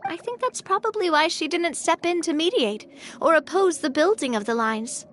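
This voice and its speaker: Heartfelt young woman boss, high. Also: animated, moderate pace, a little hiss or hum. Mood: reflective. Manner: sincere, heartfelt, a little self-conscious. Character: young woman, a cheerful boss touched by her crew's support. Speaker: game character. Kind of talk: video game voice acting. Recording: game audio with music behind.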